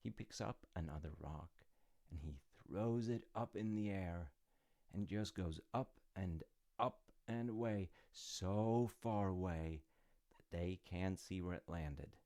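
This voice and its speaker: A man playing an easygoing older dad who tells his child a folktale in an intimate, musing way, with gently wry, rambling asides. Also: slow pace, dry recording, clean studio sound. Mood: wry storytelling. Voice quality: low, gravelly. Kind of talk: intimate roleplay storytelling with casual rambling asides.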